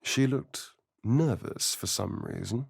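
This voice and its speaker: An actor voicing a sly, vain vampire rogue for a video game, with an English accent and an arch, theatrical, drawling delivery. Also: male voice, medium pitch, slow pace, creaky, husky, heavily acted. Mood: hushed narrating.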